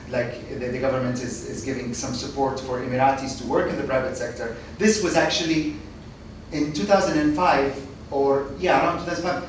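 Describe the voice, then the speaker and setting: Male podcast guest, thirties, from Kuwait; rambling, laughing storyteller recorded distant in a noisy echoing room. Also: mid-range voice. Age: 30s. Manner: chatty, restarts and stumbles, laughs often. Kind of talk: casual interview chat.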